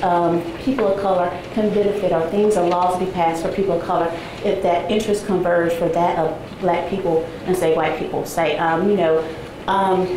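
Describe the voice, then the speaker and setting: Low-voiced woman, 40s, soft-spoken guest lecturer; distant camera, very roomy echo. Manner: soft-spoken, frequent ums, conversational. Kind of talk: academic talk with slides. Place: large seminar room with audience. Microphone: far camera, no visible mic. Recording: echoey room, noisy background.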